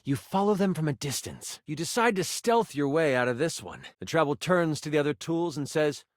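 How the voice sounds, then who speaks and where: A man's voice: a little high, lively intonation, fairly quick, dry recording, studio quality, close to an everyday voice. A man playing a game master who narrates a fantasy adventure in a theatrical storytelling style. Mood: dramatic narrating. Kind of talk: dramatic second-person fantasy game narration.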